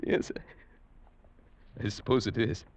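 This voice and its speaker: An actor playing a haunted, desperate Englishman in a 1940s radio drama, intense and halting. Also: on the low side, steady tone. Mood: grim resolve. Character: haunted, desperate Englishman recounting his doom. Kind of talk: 1940s radio drama acting with brooding narration. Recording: dull vintage radio recording with music.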